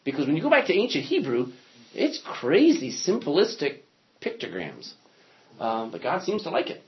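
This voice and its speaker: A man's voice: fairly high pitch, wildly swooping pitch, normal speed, muffled recording. A man teaching a Bible class in a classroom, joking and thinking aloud as he lectures.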